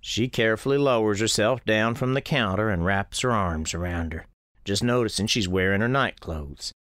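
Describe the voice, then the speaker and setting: A man playing a folksy, watchful old American storekeeper who is gentle with children, telling his story in a radio drama. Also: lowish voice, normal speed, no echo, clean studio sound, lightly acted. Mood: calm, observant. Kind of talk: first-person scripted storytelling in radio drama.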